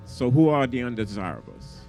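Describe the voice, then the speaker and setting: A man interviewing a musician for radio, asking unhurried questions with pauses and fillers. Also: mid-range voice, lively intonation, darkish tone, soft background music.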